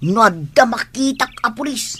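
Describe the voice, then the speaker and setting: A woman's voice: fairly high pitch, animated, moderate pace, sharp tone. A woman with an Ilocano accent acting a watchful, probing companion of a fugitive in a radio drama. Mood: cautioning. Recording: faint noise, birdsong effects.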